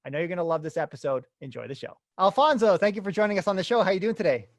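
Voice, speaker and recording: A man's voice: high-pitched, animated, rapid-fire, no echo. A young man hosting a real estate podcast, introducing his guest with enthusiastic, casual energy. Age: young adult. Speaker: podcast host. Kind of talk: upbeat podcast intro and interview.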